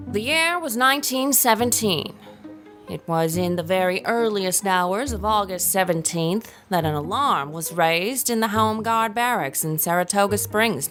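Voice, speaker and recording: A woman's voice: mid-range voice, a bit bright. A woman playing a witty, worldly sister reading her letter aloud in a period radio drama, arch and articulate.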